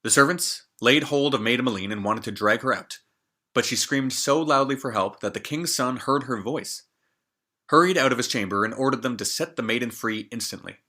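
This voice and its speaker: A man in his twenties reading a fairy tale aloud, expressive and jokey, with casual asides. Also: mid-range voice, moderate pace. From Canada. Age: 20s. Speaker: storyteller.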